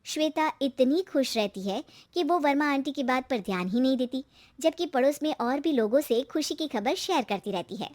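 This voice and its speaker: A woman's voice: sits high, animated, normal speed, darkish tone. A woman reading scripted narration for a cartoon moral story.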